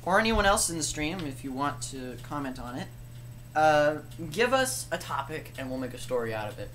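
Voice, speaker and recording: A woman's voice: low-pitched, very animated, normal speed, fairly bright tone. A woman speaks in casual chat as co-host of a story stream. Her delivery is informal, and faint noise sits behind the voice.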